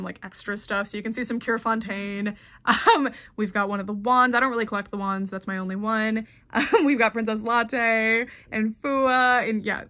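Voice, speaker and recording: A woman's voice: mid-range voice, fairly bright tone, no room sound. A young American woman with an American accent giving a chatty, gushing tour of her doll collection, full of ums and likes. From United States.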